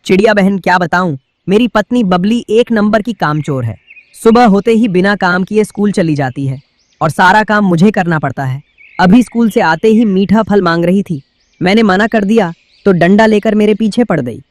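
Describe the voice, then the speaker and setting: A man's voice: sits high, normal speed, dry recording, natural voice. A man voicing a grumbling, henpecked male bird husband in a children's cartoon, with a whining, exasperated manner. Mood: miserable, complaining.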